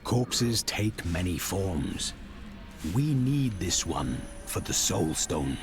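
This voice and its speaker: An actor playing a grave, composed warrior hero in a fantasy game, talking tersely and calmly. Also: male voice, low voice, full character voice. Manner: slow, terse, calm. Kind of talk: fantasy video game voice acting. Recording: loud music underneath. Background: music.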